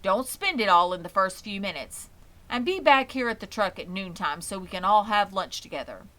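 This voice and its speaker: A woman's voice: mid-range voice, animated, normal speed, a bit bright, close to an everyday voice. A woman voicing a practical, easygoing father in a storybook, speaking firmly and plainly. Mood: instructing. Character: practical, easygoing father advising his children.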